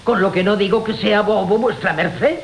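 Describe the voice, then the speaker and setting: A scheming busybody gentleman in a period radio comedy. His voice is extremely high for a man, in an echoey recording with faint hiss. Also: normal speed. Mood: urgent, sly. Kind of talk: period comic radio theatre acting.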